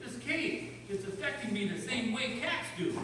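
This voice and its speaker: A performer playing a curious, excitable boy detective's sidekick in an old-time radio crime drama. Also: medium pitch, normal speed. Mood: startled, sneezy. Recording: echoey hall, far from mic, faint noise.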